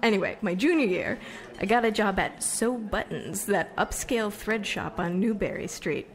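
A woman's voice: medium pitch, animated, moderate pace, close to an everyday voice. An actress playing a chatty, self-deprecating young American woman who wants fame, telling stories on stage in an audio drama. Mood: chatty, confiding. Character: chatty young Somerville woman set on becoming famous. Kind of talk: conversational stage storytelling monologue.

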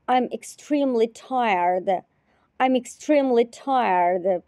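A woman teacher repeating short phrases slowly and carefully in a repetition drill. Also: on the high side, warm tone, dry recording, studio quality. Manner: repeats each phrase three times, carefully.

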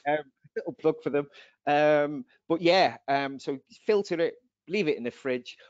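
A man's voice: on the high side, unhurried, no room sound. A man giving rambling, chatty answers on a webinar, his voice slightly going. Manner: chatty, rambling, laughs at own jokes. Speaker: nutrition presenter. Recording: dull dry video call audio.

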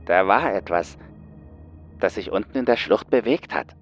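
A man's voice: on the low side, lively intonation, normal speed, soft background music, voice pushed up, lightly acted. A man voicing a big, taciturn male astronaut speaking tersely and fearfully in a horror audiobook. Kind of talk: dramatised horror audiobook dialogue.

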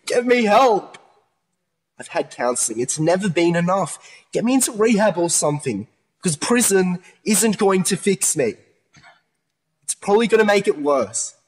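A man's voice: sits high, sing-song, moderate pace, slightly rough, heavily acted. A man acting in a modern radio play as a distressed, earnest young man pleading his innocence over a split personality. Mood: desperate pleading.

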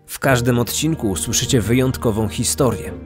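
A man's voice: medium pitch, brisk. Man narrating true crime over music. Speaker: true crime podcast host. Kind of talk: scripted documentary narration.

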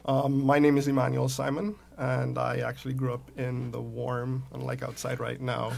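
A man's voice: mid-range voice, completely flat delivery, normal speed, vocal fry, darkish tone. A man in his thirties from Trinidad and Tobago, a guest on a radio show, easygoing into a studio mic. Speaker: guest, entrepreneur. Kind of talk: radio interview. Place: small padded radio studio. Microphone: studio mic with pop filter.

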